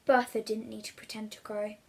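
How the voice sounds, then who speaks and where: A woman reading a children's story aloud in an expressive storytelling style, as the reader addressing young listeners. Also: mid-range voice, brisk, gravelly.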